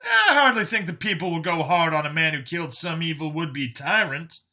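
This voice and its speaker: A man's voice: a little high, sing-song, moderate pace, sharp tone, voice pushed up, exaggerated voice. A man voicing a wry, breezy older man who teases like a would-be stepfather, in an audiobook story. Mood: scolding, earnest.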